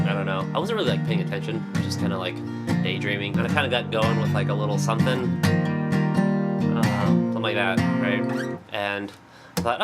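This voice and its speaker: Congested man rambling over guitar. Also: on the low side. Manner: rambling, self-deprecating, lots of fillers. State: congested from a cold. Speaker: singer-songwriter. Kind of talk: casual vlog talk. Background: acoustic guitar.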